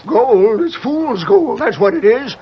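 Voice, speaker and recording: A crusty western sheriff in an old radio drama. He sounds raspy and very high, blustery and folksy, on a muffled broadcast. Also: animated, measured pace, full character voice. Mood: gruff, scornful. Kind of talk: 1940s radio western acting.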